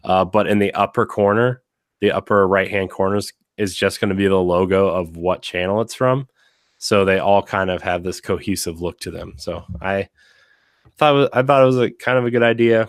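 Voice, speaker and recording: A man with a very low voice hosts a casual podcast, a little raspy from a week of sickness. He rambles along with lots of fillers and restarts. The sound is close and dry. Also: moderate pace, dry recording. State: slightly raspy from being sick. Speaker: podcast host. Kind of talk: casual chat.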